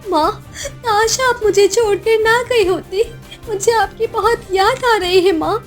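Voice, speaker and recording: An anxious young village orphan, put on by a woman, pipes up in an extremely high voice. She stammers and repeats herself, timid yet curious. It is cartoon storytelling over faint music. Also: measured pace. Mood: tearful longing.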